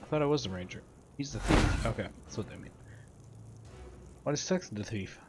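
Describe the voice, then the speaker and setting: A man's voice: fairly low pitch, animated, normal speed, loud music behind the voice. A man hosting a gameplay video, casually commenting and reading tutorial text aloud. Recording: faint background noise, game ambience.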